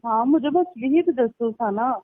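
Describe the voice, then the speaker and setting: A woman's voice: on the high side, moderate pace, dry recording. Woman on a tinny phone line. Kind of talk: casual phone chat, recounting personal stories. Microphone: telephone line. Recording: tinny, band-limited phone call recording.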